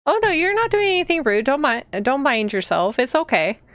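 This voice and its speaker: A woman's voice: sits high, very animated, fairly quick, fairly bright tone, no room sound, studio quality. A woman chatting casually to viewers as she hosts a live game stream. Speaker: streamer and host.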